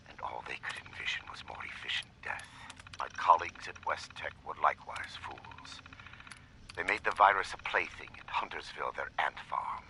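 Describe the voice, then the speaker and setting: An actor playing an arrogant, idealistic scientist who dictates video game research logs in a deliberate, gravelly, breathy voice. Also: male voice, low voice, background noise. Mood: scornful, bitter. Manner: deliberate, measured, disdainful. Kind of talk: dramatic video game audio log.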